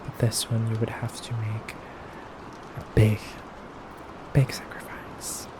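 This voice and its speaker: Male actor as elegant femboy vampire captor, ASMR roleplay: unhurried, hushed, courteous menace, deliberate pauses, audible background noise. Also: lowish voice, monotone. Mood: calm, solemn. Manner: soft-spoken, slow, deliberate, pausing often.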